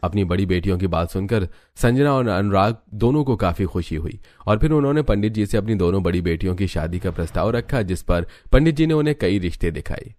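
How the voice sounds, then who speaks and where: A man tells a scripted cartoon family tale as its narrator. His voice sits low, and the recording is dry, with very little room sound. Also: lively intonation, a little fast, few pauses, darkish tone, dry recording. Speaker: story narrator. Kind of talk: scripted Hindi storytelling narration.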